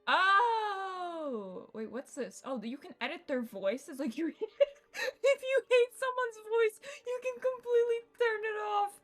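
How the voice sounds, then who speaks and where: A woman's voice: very high voice, expressive, takes it slowly, a bit bright, soft background music. A young woman livestreamer chatting casually and informally to her viewers, swearing freely as she goes. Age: young adult. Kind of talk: casual chat to stream viewers while setting up a game. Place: indoors at home computer setup. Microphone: streaming mic with noise gate.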